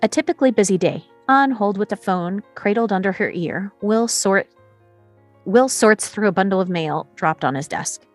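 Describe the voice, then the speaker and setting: A woman reading screenplay action lines as scripted narration, in a storytelling way with short pauses. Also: medium pitch, faint music behind. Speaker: stage directions reader.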